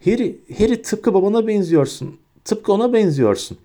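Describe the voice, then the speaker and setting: A man voicing a sniveling, terrified middle-aged traitor who begs and stammers in a high, whining voice. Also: expressive, normal speed, dark tone. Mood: pleading.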